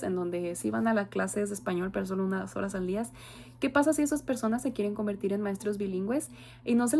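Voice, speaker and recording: A young Mexican woman in her twenties gives a class presentation in a mellow, warm tone. She talks earnestly and thoughtfully, pausing on soft "em" and "am" fillers as she gathers her points. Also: mid-range voice, vocal fry. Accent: Mexican Spanish. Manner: earnest, reflective, frequent "am" and "em" fillers. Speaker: university student.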